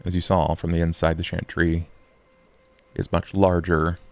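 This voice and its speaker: A man voicing a very tall, calm vampire, speaking formally and deliberately with a gravelly voice. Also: deep voice, no echo. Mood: calm, measured.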